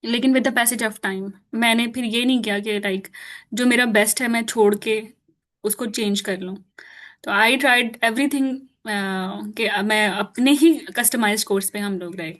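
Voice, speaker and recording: A woman's voice: on the high side, unhurried, fairly bright tone. A woman whose first language is Urdu, teaching an informal online class in a chatty way, laughing often and mixing in English. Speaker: course teacher.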